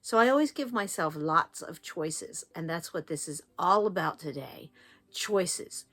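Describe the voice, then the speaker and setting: An older woman with an East Coast accent talks slowly in a fairly low voice. She is chatty and encouraging as she walks viewers through a quilting lesson. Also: lively intonation, fairly bright tone. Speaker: quilting channel host. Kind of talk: casual quilting tutorial.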